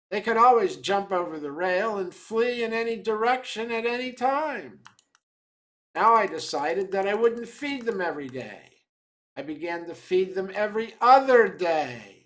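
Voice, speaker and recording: A storyteller voicing a wily, matter-of-fact swamp trapper with deliberate, step-by-step delivery in a dramatic tale reading. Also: mid-range voice, fairly bright tone.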